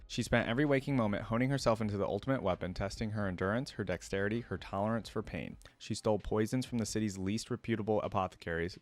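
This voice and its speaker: A man hosting a reaction video, chatting casually with spontaneous interjections and reading lore aloud. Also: low voice. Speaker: host of a reaction channel.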